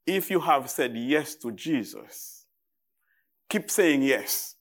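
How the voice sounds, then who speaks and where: A man with a Haitian accent preaching as a guest in church, speaking in short, deliberate phrases with halting pauses. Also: medium pitch, expressive. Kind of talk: sermon to a church congregation.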